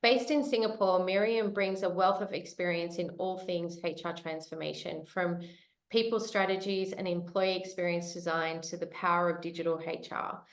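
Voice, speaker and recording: A woman's voice: fairly low pitch, fairly even intonation, normal speed, echoey room, low-quality recording. A woman hosting a webinar, reading an upbeat, welcoming scripted introduction. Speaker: webinar host.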